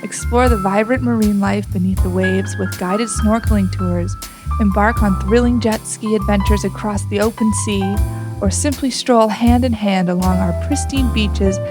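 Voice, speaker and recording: A woman's voice: mid-range voice, steady tone, moderate pace. A woman reading a scripted luxury travel commercial in a polished, flowing, promotional way. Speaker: advert voice-over announcer. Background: music.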